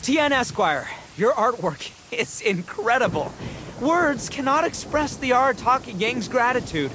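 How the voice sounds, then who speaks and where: An actor playing a brash, goofy young oni gang leader in a video game, boastful, slangy and bumbling with excitement. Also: male voice, very high-pitched, a little slow, noisy background, exaggerated voice. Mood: excited, fumbling. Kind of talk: animated video game voice acting.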